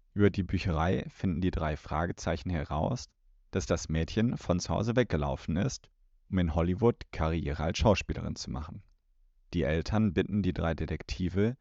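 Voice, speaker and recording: A man in his thirties speaks in a very low voice as a podcast co-host. He moves between chat and reading aloud. The recording is a little dull, made in a room at home. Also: a little mellow, dry recording. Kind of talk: podcast talk, partly reading a plot blurb.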